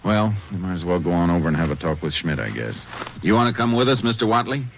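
A man playing a calm, businesslike American Texas Ranger who questions tersely, in a 1950s radio drama. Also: sits low, fast talker, vocal fry, full character voice. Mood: matter-of-fact. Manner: terse, matter-of-fact questioning. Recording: noisy, muffled old 1950s radio recording.

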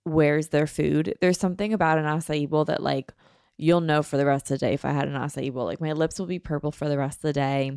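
Chatty woman host with gravelly fry. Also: sits low, darkish tone, no room sound, clean studio sound. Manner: chatty and rambling, many likes and ums. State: a little nasal from allergies. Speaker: podcast host. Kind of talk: casual solo podcast chat.

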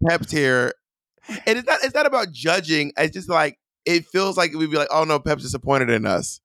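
A man co-host with a higher, bright voice banters on a dry mic. He teases playfully, speaks with big, loud energy, and jumps into quick playful asides. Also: no room sound. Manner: teasing, animated, loud. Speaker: podcast co-host. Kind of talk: casual banter.

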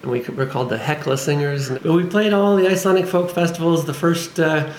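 A man's voice: on the high side, fairly quick, roomy sound, a little hiss or hum. A man in his forties from Canada, a singer-songwriter guest, talking casually and hesitantly with many fillers. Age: 40s. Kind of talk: casual interview.

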